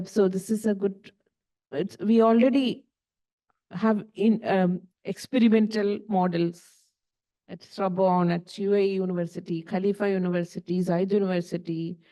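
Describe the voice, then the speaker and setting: A woman's voice: medium pitch, mellow, low-quality recording. A woman on a conference panel speaking earnestly about her university's work, with rhetorical questions and restarts. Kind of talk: panel discussion answer. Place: conference hall.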